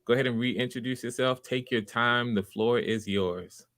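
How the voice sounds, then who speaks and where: A man hosting a live audition stream in a casual, halting way, often repeating words as he thinks aloud. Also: medium pitch, a bit bright, slight background noise. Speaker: host running a live voice audition.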